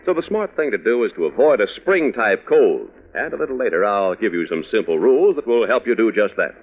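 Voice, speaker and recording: Animated, chummy old-time radio announcer. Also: male voice, on the low side, a little fast. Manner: chummy, folksy, addressing youngsters. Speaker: radio announcer, sponsor pitchman. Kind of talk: 1940s radio commercial patter. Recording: muffled old radio recording.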